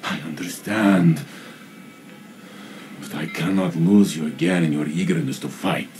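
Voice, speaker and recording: A calm, caring warrior mentor from a game. He speaks slowly and solemnly in a deep, measured voice. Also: a little hiss or hum. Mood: grave concern.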